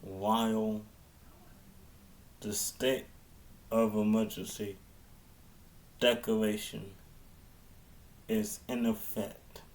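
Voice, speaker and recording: A man giving an improvised public address as a mayor, halting and often repeating and restarting his phrases. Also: lowish voice, little pitch movement, a little mellow.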